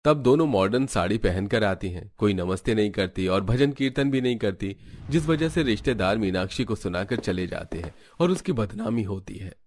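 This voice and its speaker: A man's voice: fairly low pitch, lively intonation, moderate pace, darkish tone, slight background noise. A man reading scripted narration for a family storybook cartoon.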